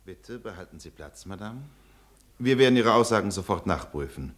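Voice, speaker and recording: A man's voice: lowish voice, animated, normal speed, lightly acted. An actor playing a cold, falsely polite German officer in a radio play who curtly dismisses a woman. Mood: smoothly formal. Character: cold, smooth German occupation officer, middle-aged. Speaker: officer taking a denunciation.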